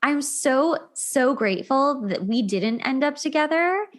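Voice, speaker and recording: A woman's voice: sits high, expressive, measured pace. A woman hosting a casual relationship advice talk, emphatic and repetitive, acting out imagined reactions as she tells anecdotes. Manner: emphatic, repeats words, acts out imagined reactions. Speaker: podcast host.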